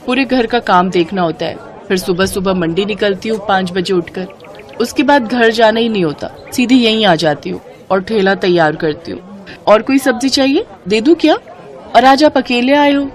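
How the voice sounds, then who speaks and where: A woman's voice: medium pitch, natural voice. A woman voicing a kind, hardworking woman vegetable seller in a moral story, respectful and eager to help. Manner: respectful, reassuring, helpful. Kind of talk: Hindi moral story character dialogue.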